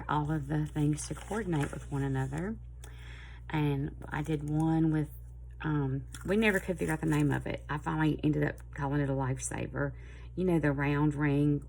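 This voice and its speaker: A middle-aged woman with a Southern US accent, chatting casually and a little hoarse, in a rambling, friendly way. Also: deep voice, darkish tone, a little hiss or hum, rough recording. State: slightly hoarse. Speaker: planner vlogger. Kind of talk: casual chat to camera.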